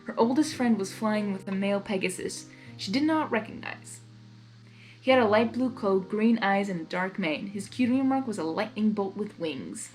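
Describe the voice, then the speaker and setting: A woman reading fan fiction aloud in an expressive, giggly way, narrating and reacting as she goes. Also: medium pitch, moderate pace, soft background music, low-quality recording.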